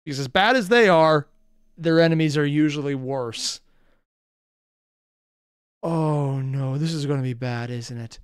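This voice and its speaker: A man's voice: on the high side, unhurried, long pauses, clean tone, no echo, studio quality. A man giving casual reaction commentary, tossing in speculative asides and swearing freely. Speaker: reaction channel host.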